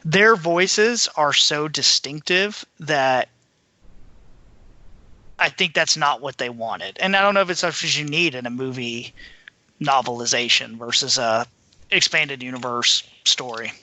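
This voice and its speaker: A man's voice: medium pitch, unhurried, pushed and bright, dry recording. A man co-hosting a podcast, chatting casually about a book. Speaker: podcast co-host.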